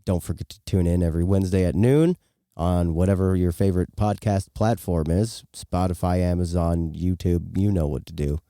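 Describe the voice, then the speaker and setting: A man's voice: sits low, moderate pace, dark tone, dry recording, clean studio sound. A man co-hosting a podcast in casual, informal chat with a joking, rambling way of talking. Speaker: podcast co-host.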